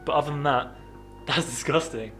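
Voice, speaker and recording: A man's voice: a little high, moderate pace, fairly bright tone, faint music behind. A young man co-hosting a casual podcast, chatting and joking with lots of ums and laughs. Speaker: podcast co-host. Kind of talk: casual chat over beer.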